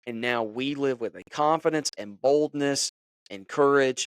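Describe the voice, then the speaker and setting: A man's voice: medium pitch, unhurried, smooth, no room sound. Casual man preaching on a sports podcast. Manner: conversational, preachy, many fillers. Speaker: podcast host. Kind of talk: casual devotional podcast talk.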